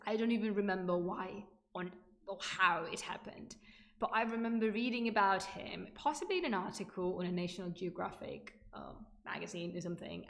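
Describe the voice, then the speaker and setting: An echoey room surrounds a woman with a distinctly creaky voice. She presents history live to an online audience in an informal, chatty way. Her delivery is enthusiastic and digressive, often stepping aside from the lecture. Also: mid-range voice. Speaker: livestream host presenting ancient history. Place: indoor room, livestream.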